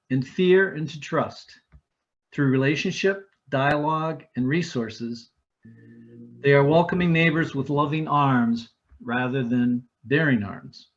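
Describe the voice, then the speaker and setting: A man, a chapter organizer, reads a measured, formal introduction of a guest speaker. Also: on the high side, highs cut off. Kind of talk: reading a prepared introduction.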